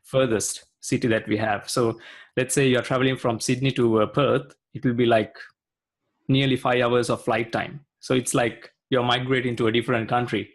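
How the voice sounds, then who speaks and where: A man's voice: mid-range voice, little pitch movement, moderate pace. A man from Sri Lanka speaking as a guest in a casual video-call interview, hesitant and full of fillers. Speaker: guest, IT consultant.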